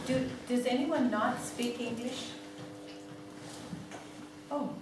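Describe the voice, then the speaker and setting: A woman's voice: fairly high pitch, slow pace. A woman in her sixties, a native Greek speaker with a Greek accent, leading a family therapy seminar in a hall. She lectures with many "um"s and checks in with "yeah?". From Greece. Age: 60s. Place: seminar room. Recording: very echoey room, far from mic, noisy.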